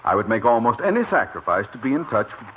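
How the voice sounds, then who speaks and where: A man's voice: medium pitch, big pitch swings, fast, close to an everyday voice. A man playing a stuffy, pompous, easily exasperated mayor with oratorical delivery in 1940s radio comedy. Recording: vintage radio, tinny and faintly noisy.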